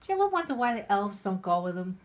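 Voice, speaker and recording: A middle-aged woman who is drunk, reading aloud haltingly with chatty asides, stumbling over and repeating words. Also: medium pitch, very animated. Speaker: mother reading a Christmas poem aloud.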